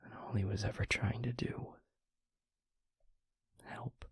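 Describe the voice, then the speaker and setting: A man playing a gentle, devoted vampire boyfriend in an intimate audio roleplay, speaking earnestly and haltingly in a breathy, husky near-whisper. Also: on the low side, little pitch movement, dry recording, heavily acted. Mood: hesitant, confessional. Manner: slow, halting, tender reassurance.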